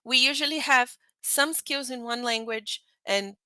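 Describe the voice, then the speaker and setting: A woman's voice: on the high side, unhurried, bright, forceful tone, clean tone, no echo. A woman, giving a conversational lecture to teachers with frequent fillers and checks for agreement. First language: Portuguese. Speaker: teacher trainer. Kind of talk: online lecture presentation.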